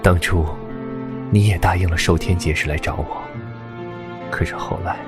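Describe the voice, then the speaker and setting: An actor playing a tender, hesitant, lovelorn immortal nobleman in a Chinese audio drama, speaking in halting, breathy, earnest phrases. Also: sits low, steady tone, natural voice. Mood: wistful. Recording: loud sad new-age music behind.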